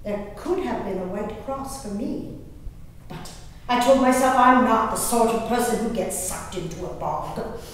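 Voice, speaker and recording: A woman playing a genteel, dramatic English gentlewoman diarist in a declamatory stage reading, stretching out her emphatic phrases. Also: a little high, animated, measured pace. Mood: breathless recollection. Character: genteel, dramatic English gentlewoman diarist, easily appalled, enraptured by wild nature. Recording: echoey hall from far off, faint noise.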